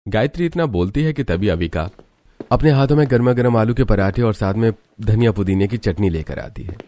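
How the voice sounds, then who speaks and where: Low-pitched male story narrator. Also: expressive, brisk, dark tone. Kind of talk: scripted cartoon story narration.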